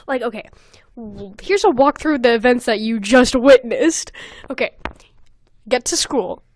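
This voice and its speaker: A young woman hosting a podcast in casual comedic chat, reading aloud and commenting with animated, sweary exasperation. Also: high voice, no echo. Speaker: podcast host.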